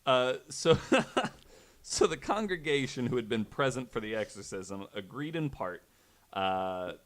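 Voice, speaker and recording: A man's voice: medium pitch, animated, a little slow. A man hosting a podcast, telling a true story in a conversational way with wry, incredulous asides. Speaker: podcast host.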